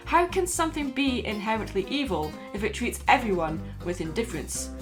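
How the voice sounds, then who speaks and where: A woman's voice: a little high, very animated, a little fast. Woman narrating a video essay over music. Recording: music playing behind the voice.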